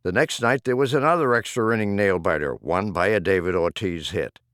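A man's voice: deep voice, expressive, a little fast, fairly bright tone, clean tone, dry recording, studio quality. A man reading humorous rhyming poems as an audiobook narrator, with a theatrical, rhythmic delivery. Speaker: audiobook reader.

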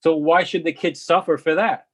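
A man's voice: a little high, fairly even intonation, normal speed, a bit bright, husky. A man reading the part of a blunt college colleague who probes and challenges, in a play. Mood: accusing. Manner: challenging, colloquial, probing. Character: blunt, accusing male college colleague, sympathetic to students. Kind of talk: readers theatre play reading.

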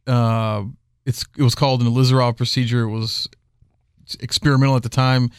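Man, podcast guest, rambling, filler-heavy, mid pitch, dry close sound. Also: flat delivery, fairly quick, clear, resonant tone, no echo. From Houston area. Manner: rambling, full of fillers and restarts. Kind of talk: casual conversation.

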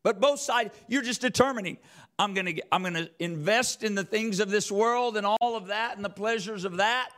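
A man's voice: high voice, moderate pace, a bit bright. A man preaching a sermon as a church elder, speaking emphatically with repeated phrases and rhetorical questions. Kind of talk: sermon with scripture quotation.

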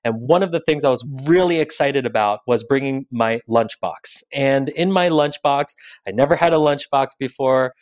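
A man's voice: medium pitch, moderate pace, no echo. Thoughtful man, Korean first language. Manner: thoughtful, personal storytelling. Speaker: podcast guest. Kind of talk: reflective conversational explanation.

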